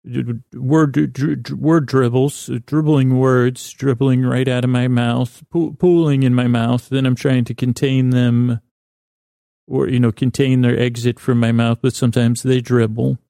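A man with a gravelly, mellow voice hosts a bedtime podcast. He rambles in a soothing, low-key way, stumbling and doubling back on his own words. The recording is close and dry. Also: little pitch movement, no echo. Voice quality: creaky. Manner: rambling, halting, self-correcting. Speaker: sleep podcast host. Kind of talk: meandering bedtime monologue.

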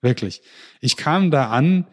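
Man from germany, interview guest, mid-pitched voice, lively storytelling, stumbles and restarts. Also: slow pace. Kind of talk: casual podcast interview.

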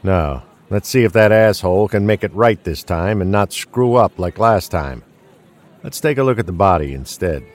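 A man playing a gruff, weary older police detective near retirement in an audio drama, blunt and dry. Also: low voice, lively intonation, moderate pace. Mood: gruff, businesslike.

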